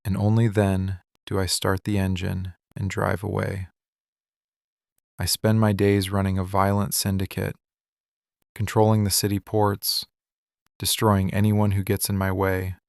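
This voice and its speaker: Man acting a slow, gravelly, possessive mafia boss. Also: deep voice, monotone, darkish tone, no room sound, clean studio sound. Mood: weary confession. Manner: slow, deliberate, intimate delivery in short phrases. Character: possessive, lovesick mafia boss, weary and intense. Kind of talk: scripted boyfriend ASMR roleplay.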